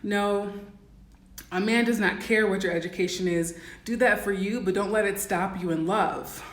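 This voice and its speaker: Raspy-voiced woman giving blunt advice. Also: medium pitch, moderate pace, reverberant. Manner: blunt, instructive, many "um" and "like" fillers. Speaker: dating and life coach. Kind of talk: casual livestream advice talk.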